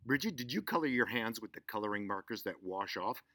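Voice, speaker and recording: A man voicing an excitable, easily alarmed mother in a children's story, with dramatic, exclamatory delivery. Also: on the low side, brisk, dry recording, clean studio sound. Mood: suspicious, dismayed.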